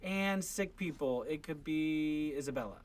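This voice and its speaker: Encouraging dad leading a family read-along. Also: male voice, fairly high pitch, a little slow. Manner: coaching, encouraging. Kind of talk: casual family instruction and chat.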